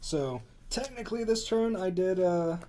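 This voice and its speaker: Gravelly man calmly calling card plays. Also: sits high, a little slow, a little hiss or hum. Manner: calm, dry, announces plays methodically. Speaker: card game player. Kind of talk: casual banter during tabletop card game.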